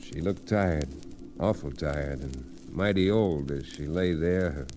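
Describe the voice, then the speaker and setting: A very deep, mellow frontier lawyer in a vintage radio western. He is steady and kindly, with a folksy storyteller's ease. Music plays faintly behind him. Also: slow. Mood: grave storytelling. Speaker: narrator and lead. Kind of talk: old-time radio western narration and acting.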